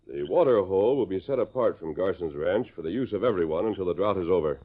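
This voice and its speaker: A man acting a steady, authoritative masked cowboy hero in a 1940s American radio western. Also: low-pitched. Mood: stern, reasoning. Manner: authoritative, measured, declarative. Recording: muffled, narrow-band 1940s radio recording.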